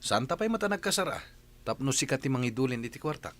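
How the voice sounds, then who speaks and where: An actor with a Filipino accent playing a stubborn, spendthrift young boyfriend in a radio drama, defensive and dismissive. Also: male voice, medium pitch, big pitch swings, fast talker, a bit bright.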